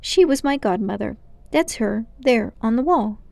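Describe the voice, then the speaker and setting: A woman's voice: fairly high pitch, lively intonation, normal speed, creaky, a little mellow, no echo. A woman voicing a pompous, condescending, scheming old man, a self-important magician uncle in a storybook. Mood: evasive, sly. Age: older. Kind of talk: storybook character voice.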